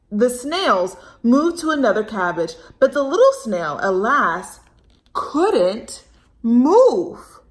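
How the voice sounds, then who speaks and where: A woman reading a picture book aloud to children expressively, stretching words for emphasis and speaking to young listeners directly. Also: fairly high pitch. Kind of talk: expressive read-aloud with chatty asides and questions.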